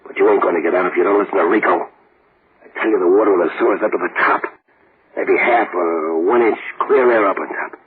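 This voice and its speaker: Man doing a gruff con plotting a jailbreak, deep voice, tinny hissy old radio. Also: fast talker, close to an everyday voice. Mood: scheming. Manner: streetwise tough-guy slang. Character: tough death-row convict planning a breakout. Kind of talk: 1940s radio acting.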